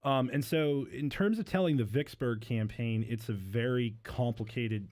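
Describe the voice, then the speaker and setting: A man's voice: medium pitch, moderate pace, darkish tone. A man speaking as a novelist guest in a casual interview, explaining things earnestly. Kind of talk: casual book interview chat.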